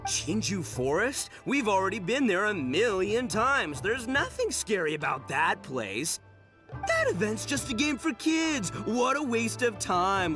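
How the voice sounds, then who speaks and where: A man's voice: sits high, full character voice. A man voicing a brash, boastful young oni gang boss with a swaggering, slangy delivery in an anime game dub.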